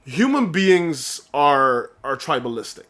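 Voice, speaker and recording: A man's voice: on the high side, sing-song, takes it slowly, fairly bright tone. A man from Guyana, raised in Saint Lucia, co-hosting a casual podcast, explaining his views earnestly with many restarts and "okay?" checks. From born in Guyana, raised in Saint Lucia. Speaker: podcast co-host.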